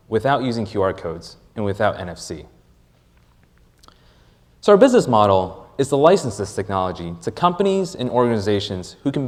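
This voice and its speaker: A man delivers a rehearsed startup pitch in a lower-pitched voice. His delivery is very lively, with wide swings in intonation and deliberate emphasis on key phrases. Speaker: startup presenter. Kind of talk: rehearsed product pitch presentation.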